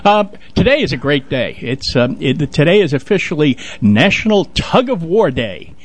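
A man co-hosting a radio show, giving news and announcements in casual, halting talk. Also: a little high, sing-song, no room sound. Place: radio studio.

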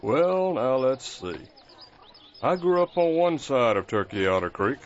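A man playing a gentle, wry blind caretaker. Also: medium pitch, sing-song, measured pace, a little hiss or hum. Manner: folksy, patient and kind. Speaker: nurse to wounded soldiers. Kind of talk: audio drama acting. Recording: muffled lo-fi recording.

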